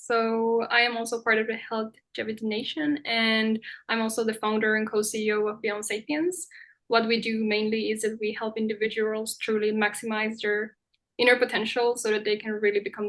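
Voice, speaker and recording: A woman's voice: a little high, a bit bright. A woman from Finland, a guest and company founder, introducing herself in an upbeat, fluent way. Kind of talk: casual talk.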